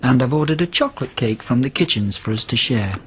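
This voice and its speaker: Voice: mid-range voice, animated, brisk, slightly rough. An actor playing a stubborn, needy, long-held captive in a radio drama, with intense, volatile delivery. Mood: playful, insistent.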